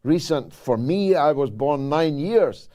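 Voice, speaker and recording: Older host in his sixties from Britain, orotund and declamatory, slow measured delivery. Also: on the high side, expressive, warm tone. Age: 60s. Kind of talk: political commentary show.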